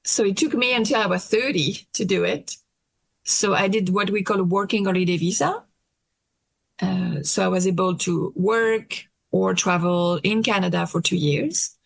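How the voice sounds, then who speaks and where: A woman in her late thirties from France, speaking English with a French accent, talking hesitantly with many pauses in a casual interview. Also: medium pitch, gravelly, dry recording. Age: late 30s. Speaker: interview guest.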